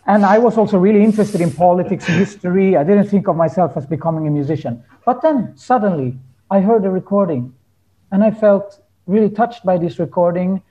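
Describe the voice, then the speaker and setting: High-pitched, mellow-voiced man chatting. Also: fairly quick, lo-fi sound. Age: 30s. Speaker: guest musician, hurdy-gurdy player. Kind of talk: casual interview storytelling.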